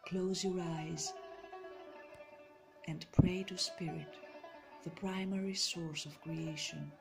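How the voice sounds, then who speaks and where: A woman narrating a guided meditation in a soothing, measured, deliberate way, with pauses between phrases. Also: lowish voice, fairly even intonation, vocal fry. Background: meditation music.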